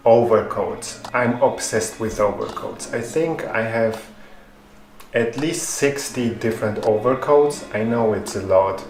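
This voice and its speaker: A man in his twenties, a native Spanish speaker raised in Germany, reading out fan questions and answering them calmly in a vlog. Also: fairly low pitch, roomy sound. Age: 20s. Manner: reads each question aloud, then answers in a calm, even way. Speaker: fashion YouTuber. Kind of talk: Q&A vlog narration.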